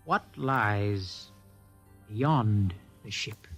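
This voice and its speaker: A man's voice: lowish voice, expressive, very slow, slightly rough, heavily acted. A man playing a bold, impetuous rebel who questions everything, intense and insistent, in an old-time radio drama. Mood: earnest, probing. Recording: old radio recording under loud music.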